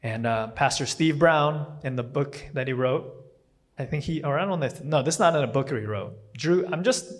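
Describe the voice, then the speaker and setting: A man's voice: fairly high pitch, normal speed. A man, not a native English speaker, preaching a casual sermon in a rambling way, often stopping to correct himself. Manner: rambling, self-correcting, with frequent fillers and restarts.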